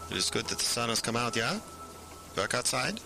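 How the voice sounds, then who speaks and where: A man's voice: mid-range voice, lively intonation, brisk, gravelly, over music. An actor playing a soothing but condescending institutional therapist in an audio drama, coaxing his patient along. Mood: soothing, probing. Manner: coaxing, condescending, tag questions.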